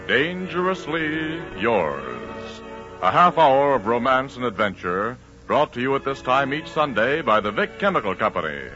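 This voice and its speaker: A man's voice: mid-range voice, very animated, measured pace, bright, forceful tone. A man announcing a 1940s radio show from a script in a formal, dramatic style. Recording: muffled vintage radio recording, music behind.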